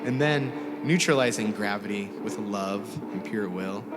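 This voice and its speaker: A man's voice: fairly low pitch, a little slow. Man narrating dramatically over music. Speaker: teacher reading aloud. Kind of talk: dramatic scripted story narration. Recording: music score under the voice. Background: music score.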